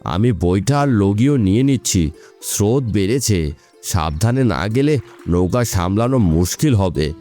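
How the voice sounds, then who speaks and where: Man playing a deep, bright-less mellow village boatman. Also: lively intonation, moderate pace, soft background music, natural voice. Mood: earnest, reflective. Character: earnest, seasoned village boatman with philosophical outlook. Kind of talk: animated moral story dialogue.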